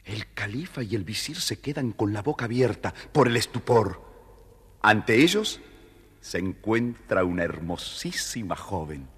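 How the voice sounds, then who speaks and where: A man narrating a scripted children's story in a measured, expressive way. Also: lowish voice. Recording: reverberant studio story recording. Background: music.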